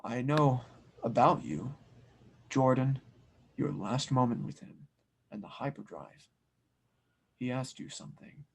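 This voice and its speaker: Man playing a soft, gravelly wise mystic. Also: medium pitch, expressive, slight background noise. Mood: quietly knowing. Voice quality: soft, hushed. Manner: slow, cryptic, measured. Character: wise, serene, mystical old blacksmith stranger. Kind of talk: fan audio drama table read.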